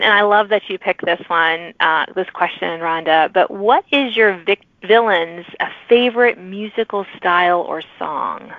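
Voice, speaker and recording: A woman's voice: mid-range voice, no room sound. A woman hosting an interview podcast, chatting casually and reacting in short interjections. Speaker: podcast host interviewing authors. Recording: phone-like narrow band, dry.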